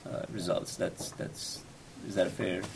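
Deep gravelly man, halting questions. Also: steady tone, background noise. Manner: halting, restarts, checks his understanding with questions. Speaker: faculty researcher. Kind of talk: casual academic discussion.

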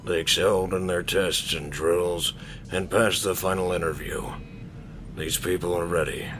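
An actor playing a grave, resolute middle-aged commanding officer in a science fiction audio drama. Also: male voice, low-pitched, fairly even intonation, normal speed, over music, natural voice. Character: grave, resolute military commander of a space program.

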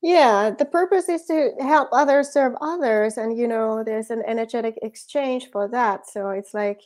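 A woman's voice: on the high side, normal speed, darkish tone. A woman from Finland with a Finnish accent, a guest coach talking earnestly in a casual interview over a video call, often laughing and repeating words as she thinks. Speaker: guest, spiritual business coach.

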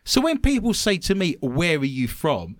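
A man from London with an English accent, hosting a radio talk show in a chatty, animated way and laughing often. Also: fairly high pitch, moderate pace, no echo, studio quality. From London, England.

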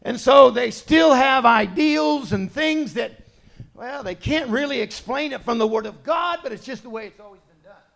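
A middle-aged man preaching a sermon in a conversational way, dropping to quiet asides and rising to loud, emphatic points. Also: extremely high pitch, moderate pace, creaky. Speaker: pastor.

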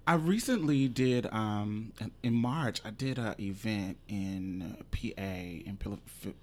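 A man's voice: on the low side, a little slow. A host in his forties from Indianapolis who talks in a chatty, emphatic way and swears casually. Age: 40s. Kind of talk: casual interview.

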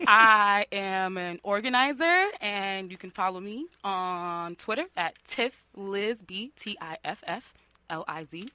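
A woman's voice: medium pitch, lively intonation, slow pace, sharp tone, smooth, no echo. A woman appearing as an organizer guest on a radio talk show, introducing herself in a friendly, slightly shy way. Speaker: community organizer, studio guest. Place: radio studio.

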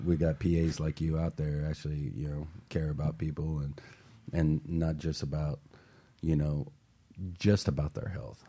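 A man's voice: very deep voice, warm tone, breathy edge. A male talk show host in casual chat, encouraging and affirming his guest.

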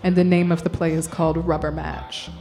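A woman's voice: low-pitched, level tone, brisk, warm tone, faint music behind. A young woman, an actor guest, talking casually in a radio interview and laughing often. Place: storefront music bar.

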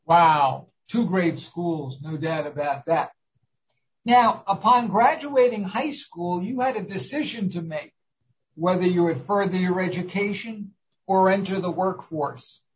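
A man's voice: high-pitched, a little slow, low-quality recording. A man hosting a talk show, interviewing a guest in an earnest, formal, scripted-sounding manner. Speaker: host.

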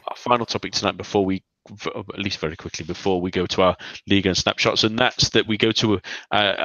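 A man's voice: lowish voice, bright, forceful tone, no echo. A man hosting a casual football podcast, talking loosely with plenty of restarts. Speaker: podcast host. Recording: dull lo-fi dry recording.

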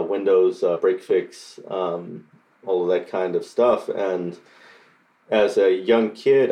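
Low-voiced middle-aged man, halting answers. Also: darkish tone. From Costa Rica. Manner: hesitant, many fillers and restarts. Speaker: coding bootcamp graduate. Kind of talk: interview answers.

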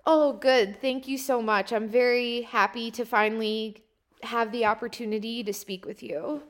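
Woman podcast host with a higher-pitched voice. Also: fairly even intonation, moderate pace. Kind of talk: friendly scripted interview questions.

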